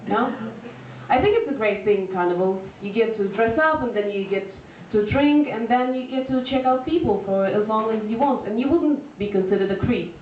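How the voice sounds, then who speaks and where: A woman, performing a deadpan stand-up set full of fillers and restarts, recorded live at a venue. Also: on the high side, moderate pace, a little hiss or hum. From Russia. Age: 20s. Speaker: stand-up comedian. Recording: echoey lo-fi room recording from far off.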